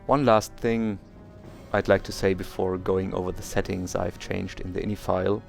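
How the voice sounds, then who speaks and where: A man's voice: sits low, level tone, moderate pace, vocal fry, soft background music. A German man speaking English as a second language, hosting a game let's play and carefully explaining settings step by step with small hesitations. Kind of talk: video game tutorial commentary.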